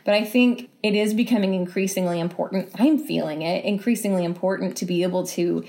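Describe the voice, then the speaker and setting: A woman's voice: medium pitch, steady tone, brisk, dark tone. Reflective woman in interview. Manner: reflective, exploratory, repeats and rephrases as she reasons. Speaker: interview guest, writer. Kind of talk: thoughtful conversational interview answers.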